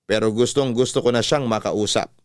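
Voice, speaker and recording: A male storyteller reads a listener's letter in scripted radio style. His voice is smooth, resonant and clear, recorded close and dry. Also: medium pitch, brisk, dry recording.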